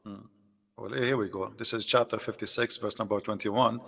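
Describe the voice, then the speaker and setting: A man's voice: fairly low pitch, steady tone, moderate pace, creaky, a bit bright, slightly rough, long reverb tail. A man whose first language is Arabic, hosting a debate and pressing his opponent with mocking questions. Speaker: debate host. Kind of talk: live debate call.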